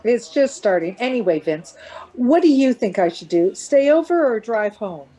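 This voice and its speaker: A woman's voice: a little high, big pitch swings, moderate pace, mellow. A woman playing a weary, anxious but reasonable middle-aged mother in conversation in a radio play. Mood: anxious, patient.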